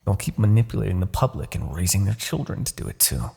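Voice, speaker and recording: Man acting husky, smug, seductive Demon King. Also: deep voice, very animated, darkish tone, exaggerated voice. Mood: impassioned, persuasive. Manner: taunting, theatrical, drawn-out condescension. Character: resurgent male Demon King, smug, seductive, manipulative tyrant. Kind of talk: fantasy ASMR roleplay acting.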